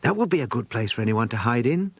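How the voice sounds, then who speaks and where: An excitable British schoolgirl exclaims her way through a children's storybook, as a man puts her on. The voice jumps high and swoops eagerly. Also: quick pace, breathy edge, no echo. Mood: excited. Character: excitable little schoolgirl exploring.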